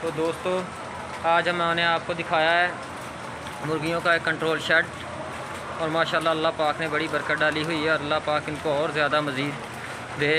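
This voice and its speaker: A man's voice: a little high, monotone, moderate pace. A young man from Pakistan giving a cheerful walking tour of a poultry shed, talking to his viewers and greeting workers, recorded on a phone at arm's length. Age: young adult. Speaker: vlogger giving a farm tour. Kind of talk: casual walking tour commentary. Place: large poultry shed with rows of caged hens. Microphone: phone held at arm's length. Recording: loud background noise from the shed.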